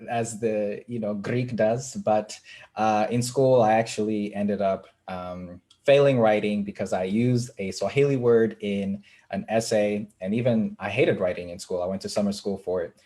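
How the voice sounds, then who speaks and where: A young man from Kenya, an author being interviewed, speaking earnestly with frequent pauses. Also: fairly low pitch, steady tone, warm tone. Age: young adult. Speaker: author and writing coach, guest. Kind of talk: casual interview talk.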